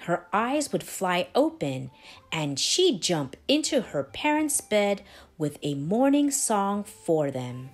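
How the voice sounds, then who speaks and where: A woman reading a children's story aloud in a deliberate, expressive way with dramatic pauses. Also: mid-range voice. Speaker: storybook reader, channel host. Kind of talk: children's read-aloud, scripted narration. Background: background music.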